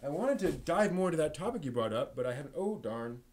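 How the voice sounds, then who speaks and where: A man's voice: on the high side, big pitch swings, fast talker, a little mellow. A man hosting a live stream, chatting casually and off the cuff in a rambling way that trails off. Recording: noisy room background.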